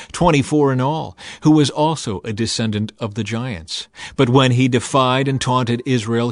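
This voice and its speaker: A man reads scripture as a scripted, dramatized narration, in a solemn, measured and emphatic manner. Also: medium pitch. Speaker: audio Bible narrator. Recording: music bed under narration. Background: background music.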